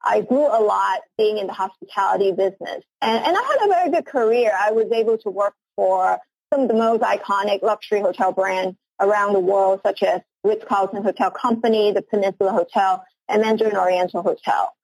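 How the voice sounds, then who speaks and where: A woman's voice: mid-range voice, brisk, creaky, few pauses, a little mellow, slightly rough, no echo, rough recording. A woman in her forties from Hong Kong, a company CEO answering interview questions earnestly, often repeating her first words. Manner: earnest, eager, repeats words when starting sentences.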